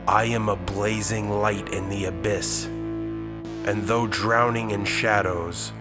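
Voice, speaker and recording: A man playing a noble, weary alien hero, narrating a comic in a solemn, theatrical, measured way. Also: fairly low pitch, fairly even intonation. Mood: grave, slow. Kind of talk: dramatic first-person comic narration and dialogue. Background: loud music.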